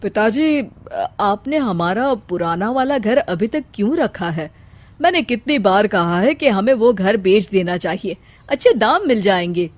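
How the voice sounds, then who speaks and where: A greedy, impatient grown son from a moral story, acted by a woman. He nags in exasperation at a medium pitch. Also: animated, a little slow, dry recording, natural voice. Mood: pressing. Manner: exasperated, nagging.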